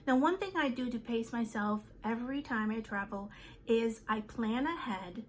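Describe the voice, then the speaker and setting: A woman in her fifties in a casual vlog, talking to her viewers in a chatty way with many fillers and pauses. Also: on the high side, a little hiss or hum. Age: 50s. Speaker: vlogger. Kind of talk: casual chat to camera.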